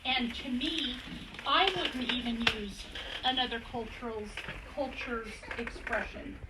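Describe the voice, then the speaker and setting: High-voiced woman at a forum. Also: measured pace. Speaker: forum participant. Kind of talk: discussion comment. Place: community center meeting room. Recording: echoey room, far mic, noisy.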